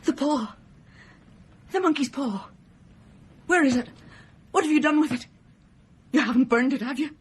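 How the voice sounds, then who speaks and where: A woman's voice: high-pitched, moderate pace, long pauses, close to an everyday voice. A woman playing an anxious middle-aged working-class mother in a radio drama, fretful and emotionally intense. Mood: grieving, desperate. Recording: noisy radio drama broadcast.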